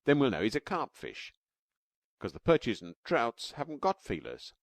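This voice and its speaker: Man doing a cave-man dad, patient, explaining, lively, dry close voice. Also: mid-range voice, moderate pace, no room sound, pitched above the performer's own voice. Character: fond, excited cave-man father.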